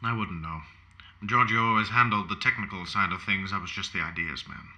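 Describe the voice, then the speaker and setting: Self-assured aging poet, low, sharp-edged voice. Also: male voice, brisk. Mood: reminiscing. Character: aging unrepentant fascist poet, confined in hospital, self-assured. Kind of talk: audio play dialogue.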